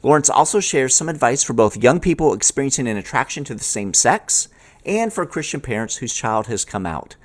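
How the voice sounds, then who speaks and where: A male podcast host with a lively, widely swinging pitch in the middle of the male range. He moves between scripted introduction and interview prompts, with plenty of rise and fall in his delivery. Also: a little fast.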